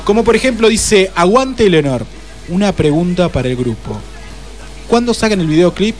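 A man's voice: sits high, lively intonation, normal speed. A man with an Argentine accent hosting a casual live radio interview, chatty and teasing, speaking into a studio microphone. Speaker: radio host. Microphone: shared studio microphone. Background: clear music.

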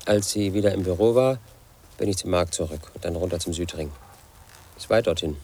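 An actor playing a brooding, paranoid man in his thirties, telling his own story as a flat inner monologue in a radio play. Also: deep voice, fairly quick, breathy edge. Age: 30s. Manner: flat, brooding, quietly obsessive.

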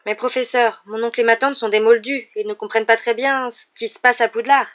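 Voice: mid-range voice, fairly even intonation, a little fast, pitched above the performer's own voice, lightly acted. A glum teenage schoolboy from a storybook, voiced by a narrator. He is polite and earnest, in a slightly dull recording. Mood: pleading. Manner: earnest, feigned casualness. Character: downcast adolescent schoolboy hero, polite but glum.

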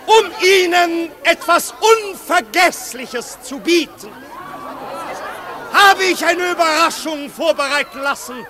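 A man's voice: very high-pitched, lively intonation, takes it slowly, heavily acted. An actor playing a theatrical, mockingly polite marquis in a 1950s radio play, declaiming pompously to his guests. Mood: grandly teasing. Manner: pompous, grandly oratorical. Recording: 1950s radio recording, loud crowd and noise.

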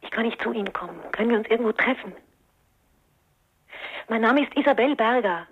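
An actress playing a secretive wife who presses urgently for a meeting in a German radio crime drama. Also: female voice, fairly high pitch, fairly quick, husky. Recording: dull, narrow sound like a call.

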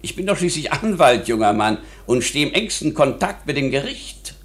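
Senior lawyer, male actor: pompously formal, evasive, talks down to others, lively melody, radio drama. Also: mid-range voice, moderate pace, close to an everyday voice. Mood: self-important. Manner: formal, condescending. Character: self-important, evasive older lawyer.

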